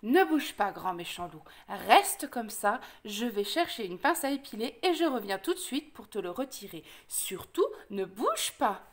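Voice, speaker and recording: A woman voicing a small, fearless male rabbit trickster in a children's storybook, bossing and instructing in brisk colloquial speech. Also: fairly high pitch, very animated, pitched below the performer's own voice. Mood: bossy.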